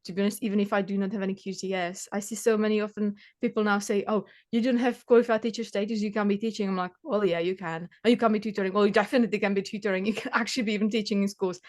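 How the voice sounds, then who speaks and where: A woman's voice: mid-range voice, steady tone, racing, hardly any pauses, a little mellow. A woman in her thirties from the Czech Republic, whose first language is Czech, talking casually about her tutoring work with many fillers and restarts. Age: 30s. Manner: chatty, many fillers and restarts, laughs often. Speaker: maths tutor. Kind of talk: casual interview chat.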